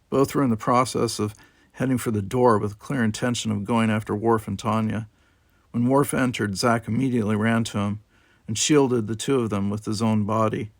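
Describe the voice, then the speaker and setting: Man, audiobook reader, lowish creaky voice, dramatic scripted narration, dry recording. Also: no echo.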